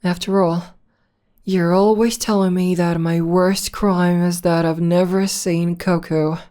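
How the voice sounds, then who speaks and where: A woman playing a villainess in an ASMR roleplay, soothing and teasing as she tends to her nemesis with possessive tenderness and drawn-out endearments. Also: on the low side, steady tone, mellow, lightly acted. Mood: wry, coaxing. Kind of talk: ASMR roleplay, soft intimate acting.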